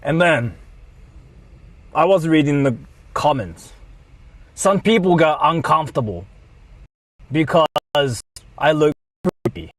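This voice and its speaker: Male streamer, higher-than-average pitch, slow deliberate delivery in broken phrases, mock-apologetic monologue. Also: animated, no room sound. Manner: deliberate, halting short phrases. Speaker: livestreamer.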